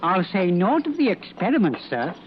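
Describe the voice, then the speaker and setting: A man playing a loyal old blind countryman with a halting, deferential, rustic manner in a radio drama. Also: high-pitched, sing-song. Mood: wary, respectful. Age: older. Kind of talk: 1940s radio drama acting. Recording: dull, noisy old-time radio recording.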